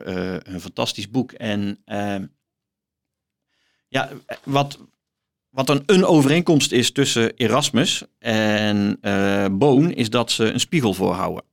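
A middle-aged man, a guest professor explaining things in an interview, thoughtfully and emphatically, halting between phrases. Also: on the low side, expressive. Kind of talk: explanatory interview talk with some reading aloud.

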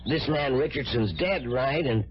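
A man's voice: medium pitch, unhurried, close to an everyday voice. Coughing, cajoling male lodger played by an actor. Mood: persuasive. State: coughing with a chest cold. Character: ingratiating male lodger with a cough, evasive, persuasive. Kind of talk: old-time radio drama acting. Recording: dull vintage radio broadcast.

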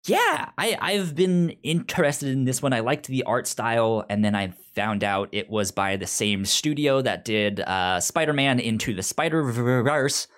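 A man's voice: medium pitch, expressive, measured pace, hardly any pauses, a bit bright. A man co-hosting a podcast in casual chat, stuttering heavily on first sounds of words. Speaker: podcast co-host.

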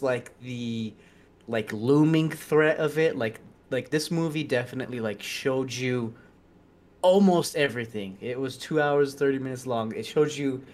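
Casual man, mid-pitch, animated and chatty. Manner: animated, laughing, filler-heavy. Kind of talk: casual chat.

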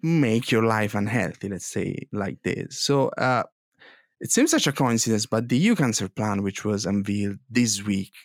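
A man with an Italian accent, co-hosting a news podcast in a halting way, with many restarts and fillers. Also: on the low side, no echo, studio quality. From Italy. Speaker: podcast co-host. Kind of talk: casual policy news chat.

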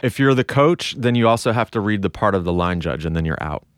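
A man's voice: on the low side, expressive, fast talker, clean tone, no room sound, studio quality. A man hosting a casual podcast chat, organizing his co-hosts in a chatty way. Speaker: podcast host.

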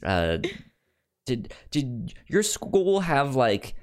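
A man co-hosting a podcast in casual chat, halting and often stammering over repeated words. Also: mid-range voice, very animated. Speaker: podcast co-host.

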